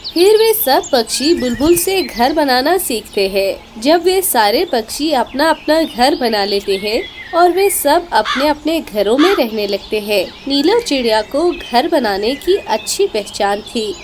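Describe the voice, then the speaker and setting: A woman's voice: high-pitched, sing-song, measured pace, few pauses. A woman narrating a scripted children's story. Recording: clear background noise with animal, bird sounds.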